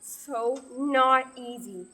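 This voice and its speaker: A woman's voice: sits high, very slow, heavy background noise. A young woman acting a stubborn, indignant young woman on a quest in a radio play. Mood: indignant, exasperated. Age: young adult. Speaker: student actor.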